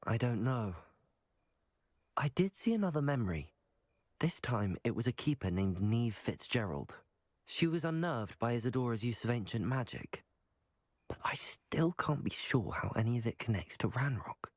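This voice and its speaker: Casting: determined young student wizard, mid pitch, lively intonation, polite, earnest fantasy game lead. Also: male voice, normal speed, no echo, clean studio sound. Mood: patient, explaining. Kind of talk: dramatic fantasy video game acting.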